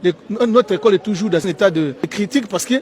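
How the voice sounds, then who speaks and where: A man's voice: sits high, quick pace, darkish tone. A middle-aged man with a Congolese French accent, giving opinionated interview answers in an emphatic way, repeating key phrases. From Kinshasa, DR Congo. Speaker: interviewee, former teacher.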